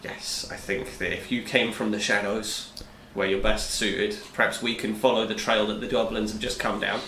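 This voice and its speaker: A man's voice: on the low side, level tone, normal speed, sharp tone. A man playing a tabletop role-playing game, casually talking through plans with the group. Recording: roomy echo, faint background noise.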